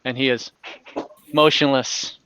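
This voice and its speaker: A man acting as dungeon master, improvising narration and rulings in a halting, conversational way and often repeating himself. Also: medium pitch, fairly even intonation, bright, forceful tone, slight background noise, low-quality recording. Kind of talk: improvised narration and rulings in an online tabletop game.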